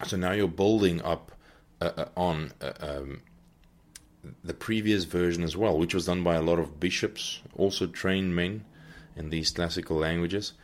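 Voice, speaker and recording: A man hosting a podcast, giving an unscripted Bible teaching talk and often pausing to search for his words. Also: deep voice. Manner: earnest, searching for words as he goes.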